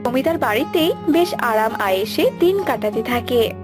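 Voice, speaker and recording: High-voiced woman narrating a children's story. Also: lively intonation, moderate pace. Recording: music behind the voice.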